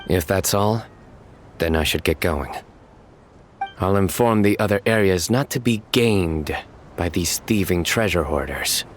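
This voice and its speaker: An actor playing a stern, deadpan young male judge with a taste for bad puns, in a game cutscene. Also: deep voice, a little slow, slight background noise. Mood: solemn. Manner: clipped, deadpan and solemn. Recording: game soundtrack music behind.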